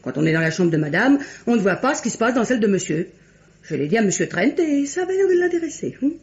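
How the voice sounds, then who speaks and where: An actress playing a chatty, saucy, coquettish young French chambermaid in a vintage radio mystery. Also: female voice, fairly high pitch, very animated, fast talker, darkish tone. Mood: gossipy, coquettish. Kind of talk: mid-20th-century French radio mystery acting. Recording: muffled vintage radio recording.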